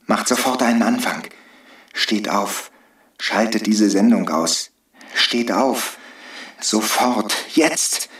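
An actor playing a defiant rebel agitator in a satirical radio play, giving blunt, commanding short orders. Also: male voice, fairly low pitch, slow pace, a bit bright. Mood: urgent, commanding. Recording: roomy echo, distant from mic.

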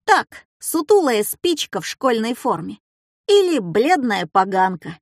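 A woman's voice: on the high side, big pitch swings, a little slow, dry recording, clean studio sound, exaggerated voice. A woman voicing a mischievous, self-assured schoolboy in a children's story, with a cheeky theatrical delivery. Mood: mocking.

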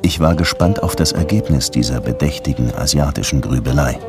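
An actor playing a world-weary, sardonic police detective who also narrates a radio drama, with a dry manner. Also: male voice, extremely low pitch, brisk, loud music behind the voice. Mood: wry.

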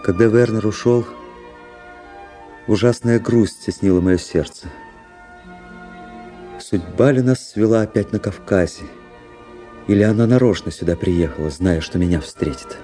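Casting: male actor as world-weary officer-narrator for radio play; low, mellow, introspective, measured delivery. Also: faint music behind, natural voice. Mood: melancholy brooding. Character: brooding, world-weary young officer narrating his own story. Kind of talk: literary first-person narration in a radio play.

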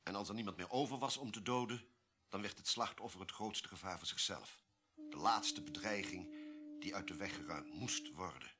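Unsentimental government official, radio drama: very low, forceful voice, authoritative and emphatic. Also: male voice, normal speed. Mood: grim, emphatic. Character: authoritative male government official revealing grim secrets, unsentimental. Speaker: radio play actor. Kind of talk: Dutch radio drama dialogue.

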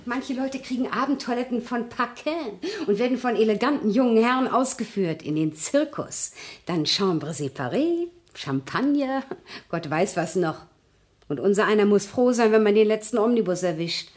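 An actress playing a cynical, weary working-class maid in a 1970s radio play, grumbling in an earthy, sardonic way. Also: female voice, mid-range voice, expressive, moderate pace, a little mellow, close to an everyday voice.

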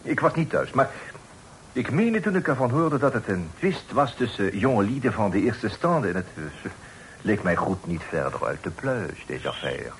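Servile innkeeper, nervously deferential, halting, broken phrasing, swooping pitch, radio play, faint noise. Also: male voice, big pitch swings. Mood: evasive, flustered. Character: obsequious, evasive lodging-house keeper, nervously deferential. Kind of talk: Dutch radio drama acting. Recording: faintly noisy old radio play recording.

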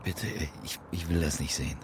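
Extremely deep voice, male investigator, weary, brooding, terse phrases, radio crime drama. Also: fairly even intonation, brisk, slight background noise. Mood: subdued.

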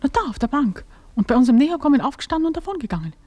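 A woman's voice: sits high, big pitch swings, very fast, mellow, muffled recording. A woman playing a suspicious, piqued society mistress with a pointed, sarcastic manner in a German radio play.

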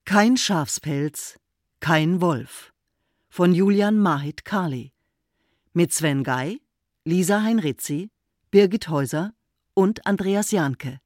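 A woman announcer reading scripted radio play credits in a measured delivery. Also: fairly low pitch, a little mellow, no room sound, studio quality.

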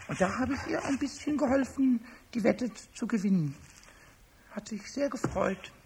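A man voicing a cheeky, childlike little kobold in a children's radio play, squeaky and raspy, stammering eagerly and repeating words. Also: normal speed, darkish tone, a little hiss or hum. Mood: defensive, fibbing.

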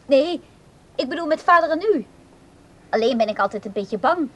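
A woman's voice: sits high, lively intonation, normal speed. An actress playing a timid, unworldly woman in a radio drama, hesitant and apologetic as she speaks. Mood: shy, hopeful. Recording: audibly noisy radio play recording.

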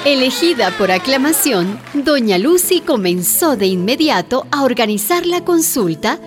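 Animated woman narrator over clear music. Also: sits high, measured pace, a crowd in the background. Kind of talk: scripted radio drama narration.

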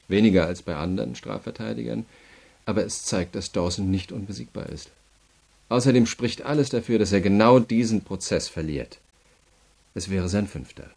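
Radio crime drama: relentless prosecutor, deep creaky voice, measured and coldly courteous, never letting the prisoner off. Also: male voice, a little mellow. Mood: dry, confident. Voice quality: gravelly. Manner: measured, methodical, coldly polite. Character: cool, relentless male state prosecutor pressing a prisoner.

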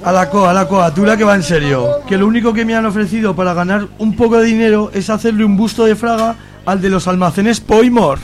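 A man's voice: sits high, brisk, soft background music, natural voice. A man playing a broke, indignant young left-winger in a radio soap.

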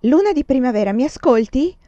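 A woman's voice: high voice, expressive, brisk, dark tone, dry recording, lo-fi sound, voice pushed up. A woman voicing a stern middle-aged mother who lectures her daughter sharply and impatiently, read aloud as an audiobook character. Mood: admonishing.

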